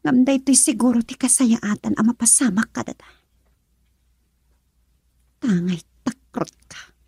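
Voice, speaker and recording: A woman's voice: a little high, measured pace, vocal fry, dark tone, slightly rough, no room sound, clean studio sound, heavily acted. A woman acting a heartbroken young daughter in an Ilocano radio drama, with an Ilocano accent. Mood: sorrowful.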